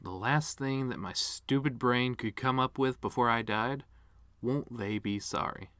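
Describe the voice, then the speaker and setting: A man's voice: medium pitch, a little slow, bright, forceful tone, no echo, clean studio sound. A man performing a dramatic monologue as a bitter, regretful actor giving his mother's eulogy, with sardonic, rambling asides.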